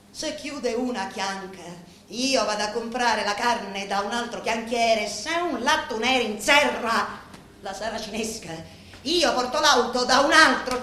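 A woman's voice: fairly high pitch, sharp tone. An actress playing a grieving, composed Sicilian woman pleading before a mob boss in a theatrical radio drama, with solemn, emphatic phrasing. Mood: solemn, firm. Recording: roomy echo with faint background noise.

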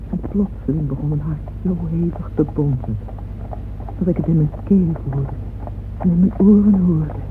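An actress playing a lonely, yearning older aristocratic woman in a radio play, speaking slowly, wistfully and intimately. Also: lowish voice, noisy background. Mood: breathless awe. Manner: slow, wistful, intimate confiding delivery. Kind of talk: old radio drama acting, monologue. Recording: dull old radio recording with music.

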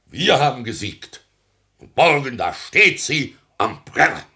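An actor playing a pompous, self-important elderly small-town mayor in a radio comedy, declaiming and blustering in stuffy officialese. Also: male voice, mid-range voice, sing-song, measured pace, sharp tone, full character voice. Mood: proud, declamatory. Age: older. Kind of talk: theatrical radio comedy acting.

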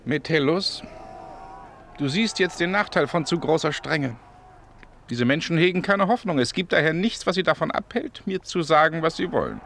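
A man playing a lofty, imperious Roman emperor with a measured, condescending manner in a radio drama. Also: a little high, animated, vocal fry, a bit bright, a little hiss or hum. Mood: lofty displeasure. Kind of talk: German radio drama acting.

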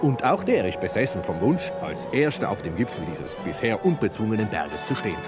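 Proud low-voiced mountaineer showman. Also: sing-song, fairly quick, loud music behind the voice. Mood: proud, relishing. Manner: theatrical, relishing storyteller. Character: proud showman mountaineer-filmmaker, a middle-aged man, vividly telling stories. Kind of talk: German radio drama acting.

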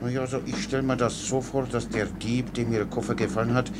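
An actor playing a guileless, chatty, foolish soldier orderly in a German radio play, rambling deferentially. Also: male voice, medium pitch, steady tone, brisk, natural voice. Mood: innocently explaining. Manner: rambling, naively unruffled, deferential. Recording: clear background noise.

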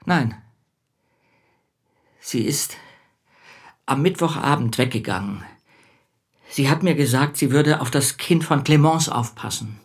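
Husky male voice, anxious withdrawn bookseller, hesitant short replies, subdued radio drama delivery. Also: medium pitch, takes it slowly, long pauses. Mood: anxious, evasive. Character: anxious, withdrawn male bookseller whose wife has gone missing. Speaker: actor in a radio play. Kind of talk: German radio play dialogue.

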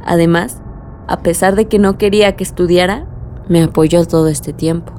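A woman playing a hopeful, sincere young engineer with a Mexican accent in a warm radio drama style. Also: fairly low pitch, fairly even intonation, moderate pace, slight background noise, lightly acted. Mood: fond, earnest.

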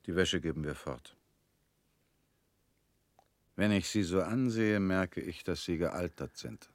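An actor in a radio drama playing a weary middle-aged family man, guarded and resigned, talking in flat, curt lines that often sink quiet. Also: deep voice, slow pace, no echo.

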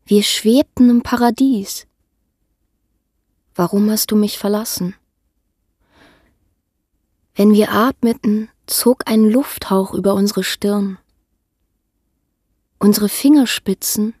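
An actress playing a weary woman who longs for God, reciting poetic radio drama in a measured, lyrical way. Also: mid-range voice, mellow, dry recording, studio quality. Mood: lyrical, forsaken. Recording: music under the voice. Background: music.

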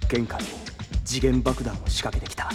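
An actor playing a cocky young man in an audio drama, flippant and teasing, with drawn-out mock admiration. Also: medium pitch, steady tone, long reverb tail, music in the background, lightly acted. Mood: low, tense. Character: cocky, wisecracking young male cop who flatters his captor. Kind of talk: anime audio drama acting.

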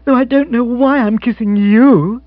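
A man voicing an impulsive, affectionate, excitable young woman in a comic audiobook. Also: very high voice, normal speed, no room sound, lo-fi sound, pitched above the performer's own voice. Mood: overjoyed.

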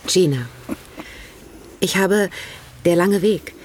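An actress in a German radio play voicing a young wife with an admiring, courteous manner. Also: female voice, on the low side, slow, a little mellow, slightly rough. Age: young adult.

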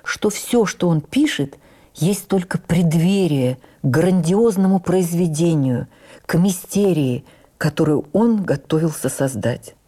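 A woman reads a literary memoir for radio as an actress would, with measured, expressive and deliberate phrasing. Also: on the low side. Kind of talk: dramatic literary narration for radio.

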